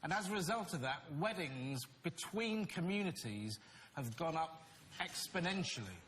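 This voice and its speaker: A man on a comedy panel show delivering a deadpan mock sales pitch. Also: moderate pace. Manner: deadpan mock salesman, laughing at own jokes. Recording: noisy studio with audience.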